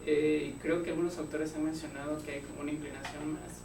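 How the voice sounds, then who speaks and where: A man's voice: a little high, flat delivery, fast talker, gravelly. A man, a doctoral student, asking a question at a seminar, hesitant and searching for his words. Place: lecture hall. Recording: distant roomy mic with background noise.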